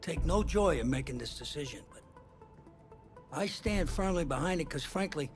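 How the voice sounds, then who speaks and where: Gravelly, halting football coach. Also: male voice, on the high side, animated, music in the background. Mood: firm, frank. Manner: halting, deliberate, plain-spoken. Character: earnest, firm middle-aged male college football coach. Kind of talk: naturalistic video game drama acting.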